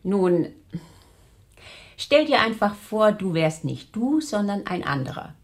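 Strict posh mom in a radio play, composed and instructive, really melodic intonation. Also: female voice, medium pitch, sing-song, takes it slowly. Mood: coolly coaxing. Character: composed, strict aristocratic mother instructing her child. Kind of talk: German radio crime drama.

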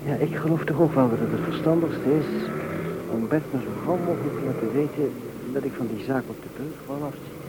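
An actor playing a gentle, hesitant, sensitive fiancé in an old Dutch radio play, speaking softly. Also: male voice, mid-range voice, a little fast, heavy background noise, natural voice. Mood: thoughtful. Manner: hesitant, soft-spoken.

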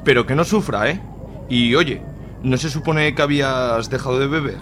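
A man's voice: mid-range voice, moderate pace, background noise, natural voice. A man playing a grandfather who is shaken up, hesitates and swears. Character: older grandfather, shaken and crude.

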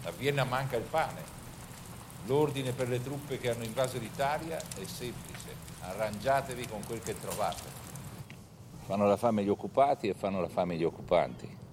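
A man reading scripted historical narration for a documentary film in a measured storytelling manner. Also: medium pitch, noisy background.

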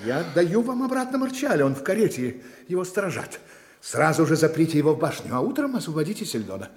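A man's voice: on the high side, sing-song, fast. An actor in a radio play voicing a scheming, self-assured conspirator nobleman, speaking in an imperious, emphatic theatrical way. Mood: smooth, commanding. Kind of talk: Russian radio play acting.

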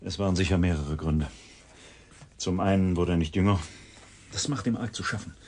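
Actor as a deep-voiced, guarded institute scientist. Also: male voice, normal speed, a little mellow, lightly acted. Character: guarded, formal male research institute colleague. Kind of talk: radio drama acting.

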